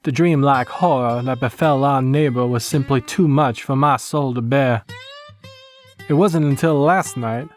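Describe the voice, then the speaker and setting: A man's voice: mid-range voice, measured pace, soft background music, close to an everyday voice. A man voicing a haunted Old West townsman with a Louisiana accent, narrating a horror story in a solemn, old-fashioned way. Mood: haunted, ominous. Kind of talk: scripted first-person horror narration.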